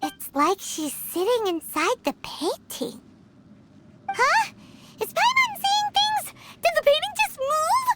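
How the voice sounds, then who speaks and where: A woman voicing a tiny, excitable, childlike fairy companion with a squeaky, dramatic delivery in a video game. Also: takes it slowly, full character voice. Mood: curious, puzzled. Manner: dramatic, exclamatory, refers to herself in third person. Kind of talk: English video game voice acting. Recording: loud music behind the voice. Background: game music.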